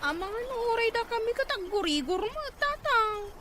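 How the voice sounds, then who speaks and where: A woman's voice: very high voice, sing-song, moderate pace, crowd noise. A woman playing a whiny, lazy college-age daughter in an Ilocano radio drama. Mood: whining, complaining.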